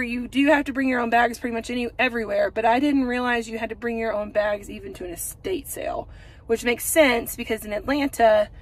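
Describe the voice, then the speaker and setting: A woman in her thirties, a home decor vlogger, rambling chattily to her phone from a parked car, with lots of fillers. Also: a little high. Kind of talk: casual chat to camera. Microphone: phone camera at arm's length.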